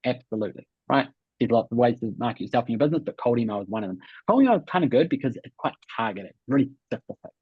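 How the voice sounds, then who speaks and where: Husky Kiwi man coaching, roomy sound. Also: mid-range voice, animated, rapid-fire. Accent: New Zealand. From New Zealand. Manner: emphatic, repeats key points, checks in with "right?". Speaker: host and business coach. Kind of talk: webinar coaching, conversational explanation. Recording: muffled, roomy webinar audio.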